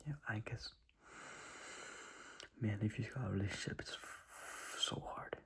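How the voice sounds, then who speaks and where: A man's voice: fairly low pitch, fairly even intonation, normal speed, gravelly, a bit bright. A young man giving earnest, rambling advice in a whispery voice, circling back and repeating himself.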